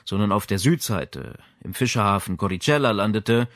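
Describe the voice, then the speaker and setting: A man's voice: fairly low pitch, animated, normal speed, a bit bright, no echo. Man narrating an audiobook, dry sound. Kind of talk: scripted crime novel narration.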